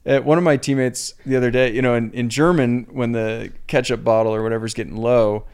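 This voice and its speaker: A young adult man from the US Pacific Northwest, a professional cyclist chatting casually on a podcast in a relaxed, rambling way. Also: medium pitch, brisk, smooth. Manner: relaxed, rambling, self-deprecating.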